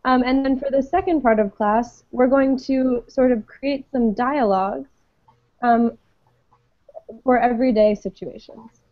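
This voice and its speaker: A woman teaches English to an online class, patient and friendly, filling her pauses with ums. Her voice sits a little high. The sound is dry and dull, like a web call. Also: no echo.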